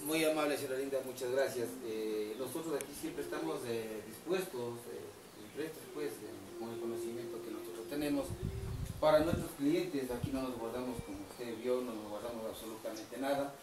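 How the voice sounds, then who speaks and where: A middle-aged man with an Ecuadorian accent, giving courteous, halting interview answers as winery staff, often repeating himself. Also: mid-range voice. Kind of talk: informal on-site interview answers. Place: covered brick patio at a winery. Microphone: camera a few metres away. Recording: echoey, distant camera mic, background noise.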